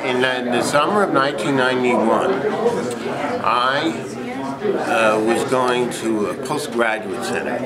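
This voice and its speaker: Man, middling pitch, interview guest, halting, rambling, repetitive storytelling, busy indoor public space, loud crowd. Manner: halting, rambling, repeats himself.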